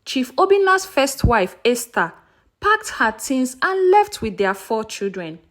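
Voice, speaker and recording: Very high-pitched, animated woman storyteller. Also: measured pace. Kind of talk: dramatic scripted folktale narration.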